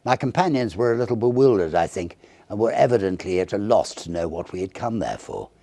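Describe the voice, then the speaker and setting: A man reading a Victorian ghost story as an audiobook narrator, theatrical and expressive, with deliberate phrasing. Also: lowish voice, no room sound.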